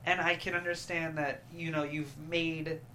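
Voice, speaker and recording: A woman's voice: sits low, little pitch movement, normal speed, bright, forceful tone, low-quality recording. Woman giving notes, faint background noise. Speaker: table read panelist giving notes. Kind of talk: casual group discussion.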